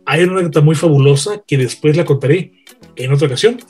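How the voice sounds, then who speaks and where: Male radio veteran, raspy-edged higher voice, emphatic storytelling with repeated phrases. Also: quick pace, a little mellow, soft background music. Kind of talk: animated anecdote-telling in a panel chat.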